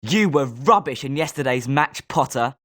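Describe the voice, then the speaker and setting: A man's voice: mid-range voice, animated, normal speed, a bit bright. A male actor playing a smug, rivalrous schoolboy at a wizard school in scripted video game lines. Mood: scornful.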